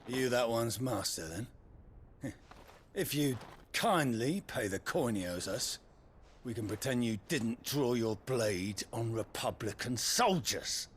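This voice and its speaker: A thuggish soldier villain in a fantasy game. His mid-pitched voice swings widely as he sneers and mocks. Outdoor-style ambience runs behind his menacing delivery. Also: expressive, takes it slowly, a little hiss or hum. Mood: mocking menace. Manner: mocking, menacing. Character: sneering, thuggish male soldier extorting travellers. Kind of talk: fantasy video game acting.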